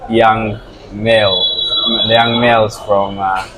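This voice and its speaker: Male interview host, lower pitch, noisy background, quick affirmations. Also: level tone. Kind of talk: interview hosting.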